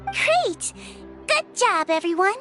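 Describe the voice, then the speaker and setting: Young nurse in a game, extremely high pitch, gentle, polite, childlike, background music. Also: female voice, sing-song, slow pace, exaggerated voice. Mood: cheerful, helpful. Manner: gentle, polite, softly reassuring. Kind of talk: English game voice acting.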